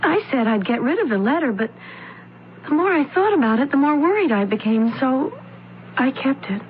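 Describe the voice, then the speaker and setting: A woman's voice: high-pitched, sing-song, moderate pace. Anxious young lady, tinny vintage radio. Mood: earnest, uneasy. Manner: earnest, careful diction, dramatic. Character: anxious, earnest young opera student, suspicious stepdaughter. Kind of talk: old-time radio mystery acting. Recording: narrow, tinny old radio recording, hiss.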